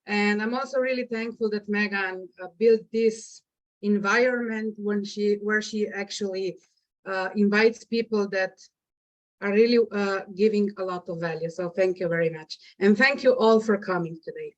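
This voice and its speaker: A woman's voice: mid-range voice, normal speed. A woman from Slovenia, a non-native English speaker, presenting as a guest coach on a video call with many hesitant fillers. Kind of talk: webinar presentation. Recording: muffled video call audio.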